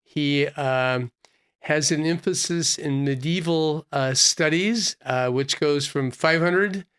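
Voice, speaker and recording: A man hosting an interview podcast, asking questions in an unhurried, conversational way, often restarting his phrases. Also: on the high side, a bit bright, clean tone. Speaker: podcast host, physician.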